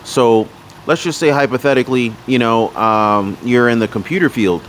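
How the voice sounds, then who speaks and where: A man's voice: medium pitch, moderate pace, slight background noise. A man hosting a personal finance channel, talking casually to his viewers and often restarting his sentences. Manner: rambling with frequent restarts and fillers. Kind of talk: casual talk to camera.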